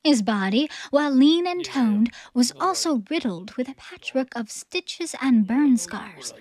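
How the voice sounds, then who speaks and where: A woman narrating a theatrical audiobook from a script, in a storytelling style. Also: high-pitched.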